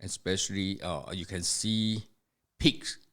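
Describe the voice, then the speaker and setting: Older Singapore-born man, slow deep voice. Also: fairly even intonation, fairly bright tone. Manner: slow, halting storytelling with many fillers and restarts. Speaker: podcast guest, host's father. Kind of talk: family history interview, reminiscing.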